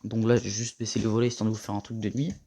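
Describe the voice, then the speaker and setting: A young man giving a casual tour of his gaming setup, rambling and slangy, a little hoarse from a cold. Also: on the low side, flat delivery, fast talker, warm tone. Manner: rambling, slangy and self-mocking. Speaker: gaming vlogger.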